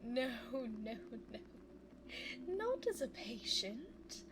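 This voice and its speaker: A woman playing a scheming, seductive female vampire in an ASMR audio roleplay, speaking formally and teasingly in a breathy voice that often drops toward a whisper. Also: high voice, very animated, noisy background, exaggerated voice. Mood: coolly persuasive. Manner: formal, coy, teasing, drawn-out phrasing. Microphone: close binaural microphone.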